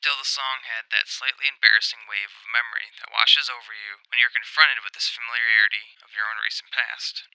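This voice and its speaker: A man in his twenties narrates a scripted review in a very bright, forward voice with a creaky edge. His delivery is articulate, measured and reflective, and the sound is close and dry. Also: medium pitch, steady tone, no room sound, poor audio. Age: 20s. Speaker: music reviewer.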